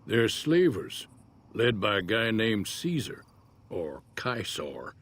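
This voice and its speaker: An actor playing an easygoing older American prospector in a Western-style video game, folksy and laconic in manner. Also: male voice, lowish voice, sing-song, takes it slowly, fairly bright tone, heavily acted. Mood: measured, wary. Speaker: game character, townsfolk prospector. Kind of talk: video game character dialogue.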